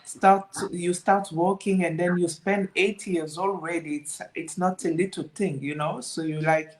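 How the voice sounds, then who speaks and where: A woman's voice: fairly low pitch, fairly even intonation, poor audio. Reflective woman on a call. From Democratic Republic of Congo. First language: French. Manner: reflective, fills pauses with "you know". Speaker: guest entrepreneur. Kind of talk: casual interview storytelling.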